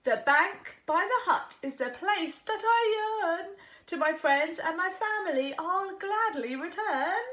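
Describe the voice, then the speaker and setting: Woman voicing a high-pitched, proud little old frog. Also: expressive, measured pace, a bit bright, exaggerated voice. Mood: polite pleading. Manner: formal, earnest, declamatory rhymed speech.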